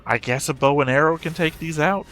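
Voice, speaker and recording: A man casually commenting on an animated show as he watches, with dry, nitpicking asides. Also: a little high, brisk, soft background music. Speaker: reaction show host. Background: soundtrack of the show being watched.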